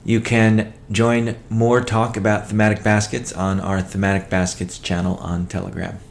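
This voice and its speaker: Low, flat-voiced man giving business update. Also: normal speed, creaky. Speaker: company CEO hosting a weekly call. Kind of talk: business update presentation, partly read from notes.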